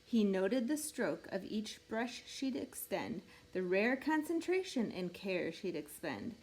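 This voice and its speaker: A woman reading a rhyming picture book aloud in an expressive, measured storytelling way meant for children. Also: mid-range voice, low-quality recording. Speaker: storybook reader.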